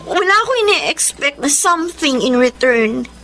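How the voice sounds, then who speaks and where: A woman in a radio drama playing a lovesick, tearful young soul with a halting, emotional delivery. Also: high-pitched, very animated, a bit bright, heavily acted. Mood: tearful, anguished. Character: lovesick, tearful young confessor, tender and self-sacrificing. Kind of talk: Filipino radio drama acting.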